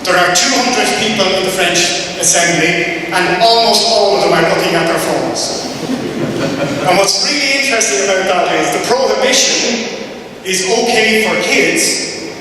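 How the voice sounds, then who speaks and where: A man's voice: high-pitched, normal speed. A man with an Irish accent, a native Irish Gaelic speaker, lecturing to an audience in an explanatory way, often repeating words and restarting phrases. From west of Ireland. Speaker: university lecturer. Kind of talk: conference presentation to an audience. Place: large reverberant presentation room. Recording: very echoey hall, distant mic, faint noise.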